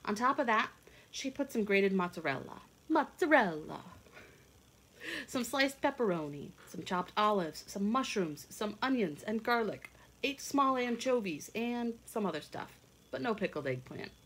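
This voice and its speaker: Expressive woman reading to children. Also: medium pitch, a little slow, slight background noise, poor audio. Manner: expressive, simple teacherly phrasing with questions. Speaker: children's read-along teacher. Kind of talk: picture-book read-aloud with friendly explanations to children. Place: indoors at home, kitchen and a room.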